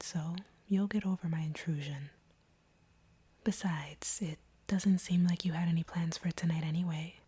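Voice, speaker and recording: A woman plays a female vampire with feelings for the hunter she faces. Her voice is extremely breathy and hushed, sitting a little low. She speaks in a deliberate, earnest whisper, as in an intimate audio roleplay, conflicted beneath her composure. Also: heavily acted. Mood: sly, teasing.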